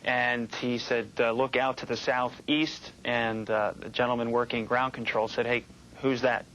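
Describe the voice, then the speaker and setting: American man, very even delivery. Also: mid-range voice, a little slow, slight background noise, poor audio. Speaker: air traffic controller interviewee. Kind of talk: first-hand recollection in interview.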